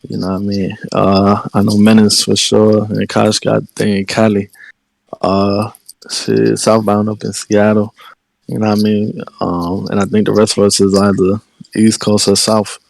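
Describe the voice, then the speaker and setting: A man's voice: lowish voice, completely flat delivery, measured pace, vocal fry, darkish tone, no room sound, low-quality recording. A man from Delaware who raps, answering interview questions in laid-back slang and often saying "you know what I mean". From Delaware, USA. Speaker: rapper guest. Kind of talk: casual interview chat.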